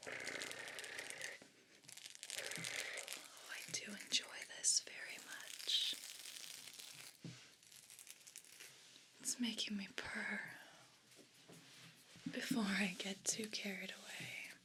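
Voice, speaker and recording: A woman voicing a haughty, bossy evil cat in a breathy whispered ASMR role play, speaking with imperious condescension. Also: mid-range voice, fairly even intonation, natural voice. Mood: indulgent, smug. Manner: imperious, slow and deliberate condescension.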